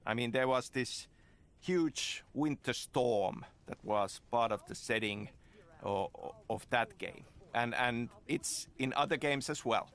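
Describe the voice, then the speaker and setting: A man from Finland, a game developer speaking in a halting documentary interview with many restarts. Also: medium pitch, fairly bright tone.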